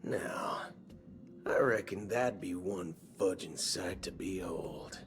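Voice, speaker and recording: An actor playing a swaggering, wry cowboy gunslinger in a video game, talking in folksy slang. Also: male voice, deep voice. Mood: sly. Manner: folksy slang, slow drawling delivery. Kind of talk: video game cutscene acting. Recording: game audio with background music.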